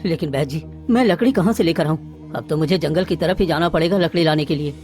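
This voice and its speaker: A woman acts out a desperate young father from a poor village in a cartoon. He pleads earnestly and urgently in a lowered voice, with light music underneath. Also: fast, a little mellow, close to an everyday voice. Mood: anxious, pleading. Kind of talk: melodramatic cartoon dialogue acting.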